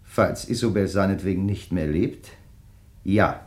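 An actor playing a suspicious, insistent man crime writer in a German radio drama, questioning in a pointed, deliberate way. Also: low-pitched, darkish tone. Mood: brooding, bitter.

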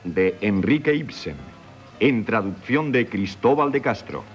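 A man's voice: lowish voice, animated, a little slow. A man with a Castilian Spanish accent reading formal scripted programme credits as a radio announcer. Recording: dull 1970s radio recording over music.

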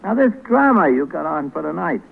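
An actor playing a fussy, prudish, folksy radio censor in a 1940s comedy sketch. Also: male voice, on the high side, sing-song, normal speed, gravelly. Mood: prim, disapproving. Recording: tinny, noisy old radio broadcast.

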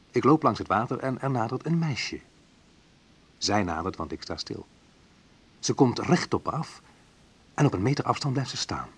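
An actor playing a wistful man recounting his youth in a reflective, measured radio play narration, with a slightly husky voice. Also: mid-range voice. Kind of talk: literary first-person radio play narration.

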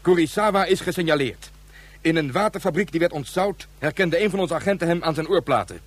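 Husky, higher-pitched sci-fi character. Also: male voice, expressive, fairly quick, no room sound. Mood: urgent, triumphant. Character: male character in a science fiction adventure. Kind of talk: radio play acting.

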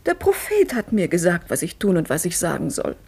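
An actress playing Fatime, a clever and devout young wife who is playful, earnest and intimate in a 1950s radio play. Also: female voice, fairly low pitch, huge pitch swings, a little fast, gravelly, a little mellow, breathy edge, full character voice. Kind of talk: 1950s radio drama acting.

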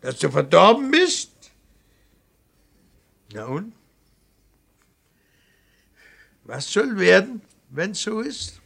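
An actor playing a stern, anguished middle-aged father in a classic German radio drama, raspy and insistent, moving between reproach and pleading. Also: high-pitched, sing-song, takes it slowly, a bit bright, exaggerated voice. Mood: bitter, accusing. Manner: insistent, theatrical, swings from biting reproach to pleading.

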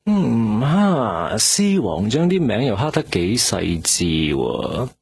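An actor with a Hong Kong Cantonese accent playing a curious, eager young junior police detective in a radio drama. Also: male voice, mid-range voice, huge pitch swings, slow, vocal fry, darkish tone, slightly rough, exaggerated voice. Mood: curious, chatty. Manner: casual, inquisitive.

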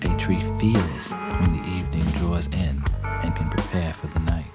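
A low-voiced, gravelly narrator reciting literary prose in an art radio piece, with music behind. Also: fairly even intonation, moderate pace, natural voice. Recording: plucked guitar music behind the voice. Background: plucked string instrument, guitar.

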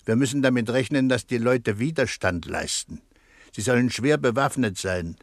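Officious chief inspector played by a man, mid-pitched, very dry sound, impatient authority, radio crime comedy. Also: moderate pace, no echo, lightly acted. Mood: brisk, commanding. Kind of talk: German radio crime satire acting.

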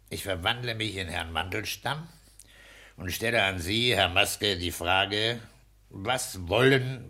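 An actor playing a pompous, self-satisfied petty-bourgeois husband and landlord in a declamatory 1960s German radio play style. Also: male voice, sits low, pushed and bright, full character voice. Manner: pompous, declamatory, bullying joviality.

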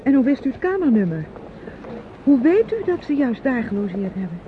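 An actress playing a meek, dutiful niece in a radio play, speaking in timid, halting, polite phrases. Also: female voice, high-pitched, very animated, slight background noise. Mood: hesitant, polite. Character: meek, hesitant, dutiful unmarried niece, quietly evasive. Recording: thin, phone-like old radio recording.

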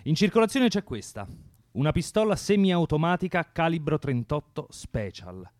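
A man's voice: a little high, very animated, moderate pace, a little mellow, dry recording. Cold, commanding militant man, close dry sound. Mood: instructing. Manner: commanding, lecturing, provocative. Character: cold, doctrinaire 1970s Italian communist militant man. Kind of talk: radio drama acting.

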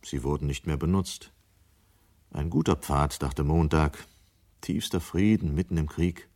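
A male actor narrating a dystopian science fiction radio play in a grave, measured, literary manner. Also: very deep voice, darkish tone, exaggerated voice. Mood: grave, suspenseful. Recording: 1970 radio play studio recording.

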